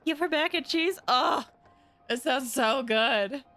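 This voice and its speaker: A woman's voice: sits high, slow, a bit bright, soft background music. A woman chatting casually and playfully as she comments on a game live, often repeating herself. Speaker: game streamer.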